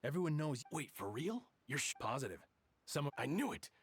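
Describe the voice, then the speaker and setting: A man's voice: fairly high pitch, very animated, brisk, a little hiss or hum. An actor voicing a nervous, gossiping male island soldier who speaks hesitantly.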